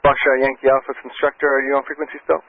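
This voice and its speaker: A man working as an air traffic controller, giving calm, reassuring step-by-step instructions over aviation radio. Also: mid-range voice, very fast. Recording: muffled, band-limited aviation radio transmission.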